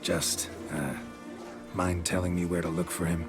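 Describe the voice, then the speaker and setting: A very deep-voiced man as a stoic monster hunter from a fantasy game. He is gravelly and deadpan, speaking in terse, clipped phrases over clearly audible music. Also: unhurried. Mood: businesslike, stoic. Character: gruff, stoic male monster hunter for hire. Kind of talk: video game character acting.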